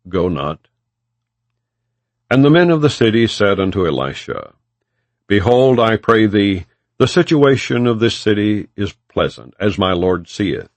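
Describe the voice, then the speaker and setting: A man reading scripture as an audiobook narrator, in a solemn, measured, non-dramatized style. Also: sits low, dry recording. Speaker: audiobook reader.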